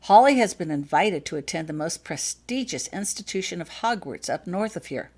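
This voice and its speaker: Woman reading as a smooth, commanding wizard father. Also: on the low side, lively intonation, moderate pace, a little mellow. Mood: smooth, businesslike. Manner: smooth, polite, persuasive. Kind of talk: acted fanfiction audiobook dialogue.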